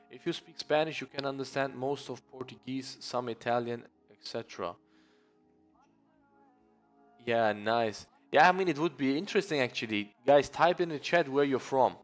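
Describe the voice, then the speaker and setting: Male stream host with a smooth, resonant voice at mid pitch. Easygoing and chatty, greets viewers by name with faint music underneath. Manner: reads viewer comments aloud and greets them by name; relaxed, chatty, says "bro" often. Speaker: live-stream day trading host. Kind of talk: casual live-stream chat.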